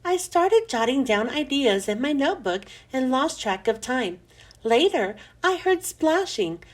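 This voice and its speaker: A woman reading a children's book aloud with expressive, emphatic storytelling that stretches out key words. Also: high voice, measured pace. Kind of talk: expressive scripted storybook narration.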